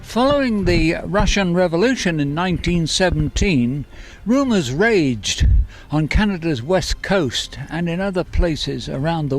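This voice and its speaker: A man reading in a measured, deliberate storytelling way, as an author presenting his own novel. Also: fairly high pitch. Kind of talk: scripted narration and book reading. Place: on location at a coastal narrows.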